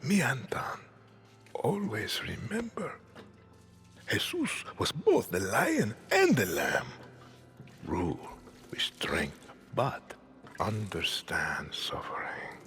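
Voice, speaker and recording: Casting: menacing middle-aged game dictator, Latin American Spanish accent, very low voice, hugely varied intonation, deliberate delivery. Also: male voice, wildly swooping pitch, fairly bright tone, soft background music, exaggerated voice. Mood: brooding, solemn. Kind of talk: cinematic video game acting.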